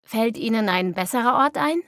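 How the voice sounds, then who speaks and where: A woman playing an apologetic young mother in a clearly articulated language-lesson dialogue, speaking politely. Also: a little high, animated, moderate pace, a bit bright, no echo, studio quality.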